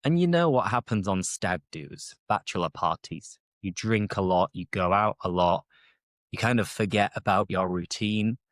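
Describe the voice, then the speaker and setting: A man's voice: on the low side, lively intonation, normal speed, dry recording, clean studio sound. A British man hosting an English-learning podcast, chatting casually off the top of his head and explaining phrases as he goes. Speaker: English teacher and podcast host. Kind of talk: casual unscripted teaching monologue.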